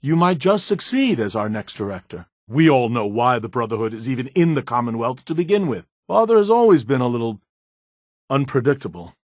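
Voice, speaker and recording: Earnest scientist man, lively intonation. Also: mid-range voice, brisk, no echo, clean studio sound. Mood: formal, measured. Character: earnest, bookish male scientist, eager and slightly nervous. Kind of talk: video game dialogue.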